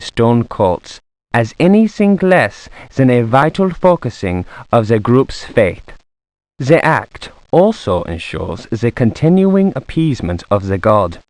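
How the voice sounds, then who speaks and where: Occult scholar, game book narration, formal, grave, animated intonation, dry studio sound. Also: male voice, medium pitch, dry recording. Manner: formal, measured academic delivery. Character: scholarly male occult researcher reading his grim treatise. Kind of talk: scripted dramatic reading.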